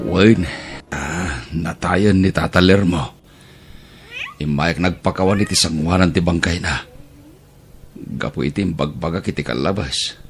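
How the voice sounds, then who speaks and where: A man's voice: sits low, a little slow, long pauses, soft background music. A man with an Ilocano accent playing a volatile, remorseful village husband in an audio drama, recorded with some noise. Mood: remorseful, firm.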